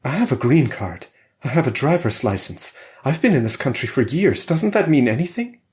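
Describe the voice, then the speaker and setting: A man's voice: mid-range voice, sing-song, normal speed, darkish tone, pitched above the performer's own voice, natural voice. A man voicing a hopeful Russian scientist husband who stubbornly reassures his wife, in a spoken story. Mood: pleading.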